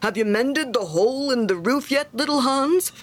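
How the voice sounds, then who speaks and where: A woman's voice: mid-range voice, big pitch swings, measured pace, fairly bright tone. A woman voicing a pompous, self-satisfied male miller in a storybook, lecturing with sanctimonious emphasis. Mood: cheery, self-important. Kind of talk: storybook character reading.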